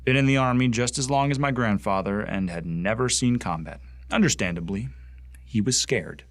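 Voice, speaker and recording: An actor playing a bitter, zealous young Martian recruit in a science fiction radio play, speaking with earnest, deliberate contempt. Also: male voice, mid-range voice, dry recording, close to an everyday voice. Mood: solemn, reverent. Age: young adult. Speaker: actor in an audio drama.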